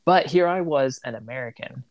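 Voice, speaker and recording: A man's voice: mid-range voice, moderate pace, a little mellow, no echo. A man who grew up in Tanzania, a missionary, telling a story in casual conversation and often restarting his sentences. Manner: rambling, self-correcting, reflective.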